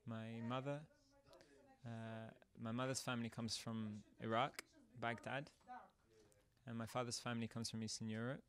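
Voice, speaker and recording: A man giving hesitant interview answers, halting and restarting his words. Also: medium pitch, flat delivery, fairly bright tone.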